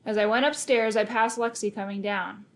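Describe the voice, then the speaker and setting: A woman's voice: mid-range voice, fairly even intonation, moderate pace. Woman reading a children's story aloud. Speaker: storybook reader. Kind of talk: expressive read-aloud of a children's novel, first-person narration.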